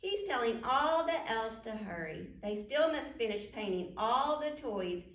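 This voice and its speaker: A woman's voice: fairly high pitch, lively intonation, unhurried, a bit bright. A woman reading a children's storybook aloud at storytime, speaking directly to young listeners and putting questions to them. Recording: roomy echo, distant microphone.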